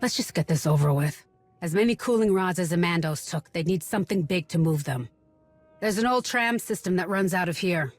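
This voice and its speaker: A woman's voice: on the low side, expressive, brisk, no echo, soft background music. An actress playing a guarded, bitter young woman in a video game, blunt, sardonic and distrustful. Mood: suspicious, irritated. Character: guarded, bitter young local woman searching for missing sister. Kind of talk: video game cutscene acting.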